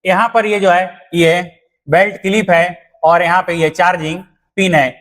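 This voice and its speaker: A man's voice: sits high, steady tone, unhurried, a bit bright. A man explaining things step by step as an instructor, repeating points plainly. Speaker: instructor and channel host. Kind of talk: explanatory tutorial addressed to viewers.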